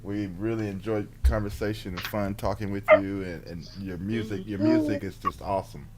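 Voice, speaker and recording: A man with a very low voice hosts an internet radio talk show. He talks in an easygoing, affirming way and drops in a lot of agreeing murmurs. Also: sing-song, moderate pace, over a noisy crowd. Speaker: host.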